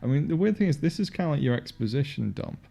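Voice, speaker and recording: A man with a fairly deep voice, co-hosting a casual film commentary, chatty and quick to laugh at himself. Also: sing-song, gravelly, dark tone. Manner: chatty, self-deprecating, laughs often. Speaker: podcast co-host.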